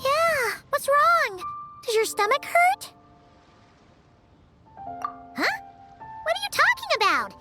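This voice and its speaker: Tiny cheeky fairy sidekick for a game: very high, squeaky, childlike, blunt. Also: female voice, big pitch swings, slow pace, pauses often, pushed and bright, soft background music, full character voice. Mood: puzzled concern. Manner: exclamatory, bluntly teasing. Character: tiny childlike floating fairy companion, cheeky, blunt, third-person talker. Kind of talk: video game character acting.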